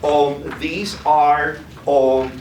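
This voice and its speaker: Man pitching products in an echoey room. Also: little pitch movement, drawn-out words, darkish tone. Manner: tags lines with "okay?". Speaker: sales presenter. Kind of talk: live product demo talk. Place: food trade demo room. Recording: echoey room, mic far off, noisy.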